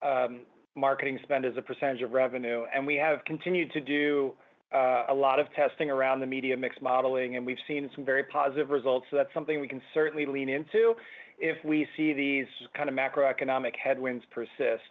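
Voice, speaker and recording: A man answering business questions as a company executive on an earnings call, with halting corporate phrasing. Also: medium pitch, steady tone. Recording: thin phone-like conference line.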